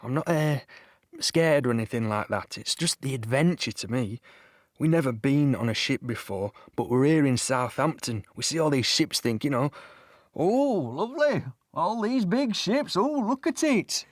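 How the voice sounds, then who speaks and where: An actor playing an eager, fearless young British soldier, telling his war story in a chatty, excitable way in an audio play. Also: on the high side, normal speed, no room sound, studio quality, natural voice. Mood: carefree, wondering. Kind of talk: animated storytelling monologue, quoting others.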